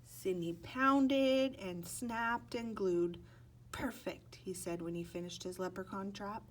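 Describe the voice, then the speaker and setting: A woman's voice: medium pitch, slow pace, poor audio. A woman narrating a children's picture book expressively, stretching words and acting out sound effects. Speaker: storybook reader.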